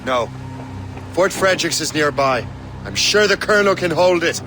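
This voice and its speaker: A man's voice: a little high, lively intonation, moderate pace, music in the background, close to an everyday voice. An actor playing an earnest young sea captain, a man, in a dramatic video game style. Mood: grim, resolute.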